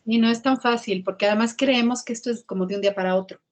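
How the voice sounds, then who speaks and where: A woman, giving an online talk on writing in a lively, emphatic way and repeating key words. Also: a little high, quick pace. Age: 50s. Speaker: guest speaker on writing. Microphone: video call.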